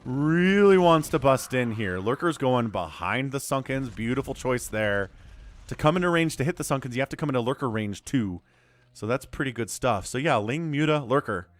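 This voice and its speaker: A man calling a live strategy game match solo, his voice a little hoarse and stuffy from a cold, swinging from calm analysis to loud exclamations. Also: mid-range voice, no echo. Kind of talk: live esports play-by-play commentary.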